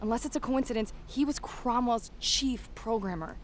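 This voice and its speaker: A woman acting in an audio drama as a plucky, curious investigator with a brisk, matter-of-fact manner. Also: a little high, natural voice. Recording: loud ambience and noise behind.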